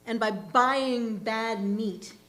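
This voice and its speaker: A woman reading a literary short story aloud in a deliberate, expressive narrating style. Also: a little high. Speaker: reader in a readers' theatre. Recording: faint background noise and hum.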